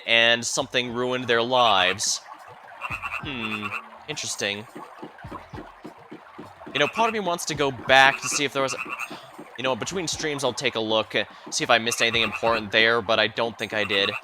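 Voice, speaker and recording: A man's voice: mid-range voice, background noise. A man giving live playthrough commentary on a video game, musing hesitantly and wandering into asides as he streams.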